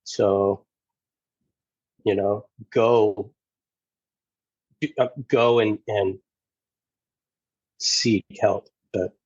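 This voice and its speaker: A man with a lowish, husky voice speaks in a flat, even line, earnest and halting as he restarts his thoughts. The sound is dry and close but dull and lo-fi, as if the recording is muffled. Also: dry recording. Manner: earnest, halting, many restarts and "you know"s. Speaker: podcast guest, former federal officer and navy corpsman. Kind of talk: casual conversation.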